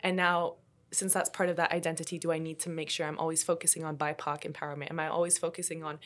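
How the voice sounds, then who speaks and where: A young woman from Colombia hosting a casual podcast conversation, speaking in a thoughtful, measured way and often adding "you know". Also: low voice, flat delivery. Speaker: podcast host.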